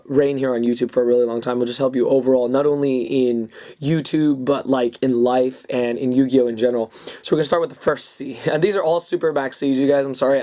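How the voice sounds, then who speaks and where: A young man with a raspy voice he is losing, hosting a casual advice vlog and talking loosely with lots of ums and uhs. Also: mid-range voice, a little mellow. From California. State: hoarse, losing his voice. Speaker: YouTube card game channel host.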